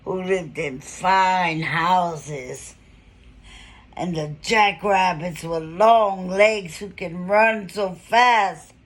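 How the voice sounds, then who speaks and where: Slow-paced woman reading a children's story. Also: lowish voice. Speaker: storybook reader. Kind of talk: read-aloud children's story with casual asides.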